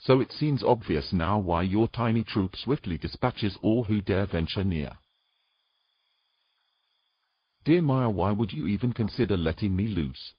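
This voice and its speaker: Husky, low, tender protector played by a man. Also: animated, normal speed, few pauses, muffled recording. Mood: guarded. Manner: soothing, coaxing, gentle. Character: tender, protective human man soothing a mermaid. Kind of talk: intimate audio fantasy romance drama.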